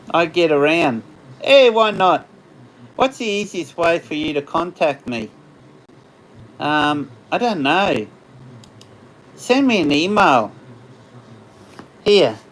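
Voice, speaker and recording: Man, Australian accent, slightly hoarse, unhurried, rambling livestream chat. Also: fairly high pitch, animated, a bit bright, rough recording. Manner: rambling, chatty, laughs often. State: tired voice after hours of talking. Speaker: livestream host. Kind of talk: casual live chat with viewers.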